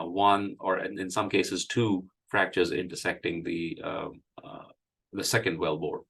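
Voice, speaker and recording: A man with a cold, hoarse, giving a halting technical presentation with many fillers. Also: deep voice, bright, forceful tone, rough recording. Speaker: engineering presenter. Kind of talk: technical presentation at an online meeting.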